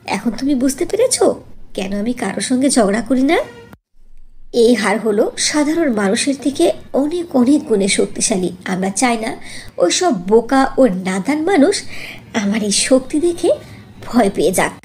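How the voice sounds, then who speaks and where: A woman voicing a gentle, patient young mother in a cartoon, soothing as she explains. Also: fairly high pitch, expressive, measured pace. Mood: reassuring. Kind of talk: cartoon voice acting.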